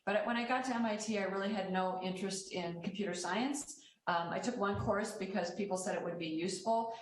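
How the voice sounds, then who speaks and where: A woman from the Midwest, a computer scientist, telling her story in a steady, reflective way over a video call. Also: lowish voice. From American Midwest. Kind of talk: personal story on a panel. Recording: roomy distant mic with faint noise.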